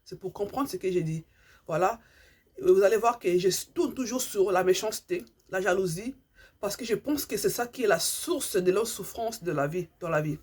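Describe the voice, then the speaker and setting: Husky-voiced woman. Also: mid-range voice, animated, normal speed, darkish tone. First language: Lingala. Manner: earnest, repeats herself, hunts for words. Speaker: vlogger. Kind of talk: impassioned monologue to subscribers.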